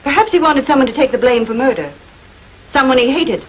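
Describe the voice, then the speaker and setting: An actress playing a defensive, haughty estranged wife in a 1940s filmed crime drama, fiercely protective and quick to take offence. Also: female voice, high voice, animated, muffled recording. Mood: bitter, defensive. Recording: noisy old 1940s film soundtrack.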